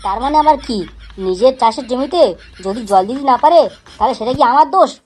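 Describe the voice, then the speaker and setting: A woman's voice: sits high, expressive, fast, a little mellow, a little hiss or hum. A woman playing a brazen, unrepentant young village wife in a comedy cartoon, blunt and defiant. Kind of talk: Bengali comedy cartoon dialogue. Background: background music.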